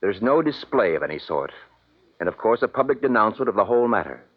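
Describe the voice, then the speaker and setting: Grave, husky, low-voiced father. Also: sing-song, fast. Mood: grave, resigned. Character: grave, restrained middle-aged father of grown daughter. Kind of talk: 1950s radio drama acting. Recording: muffled vintage radio recording.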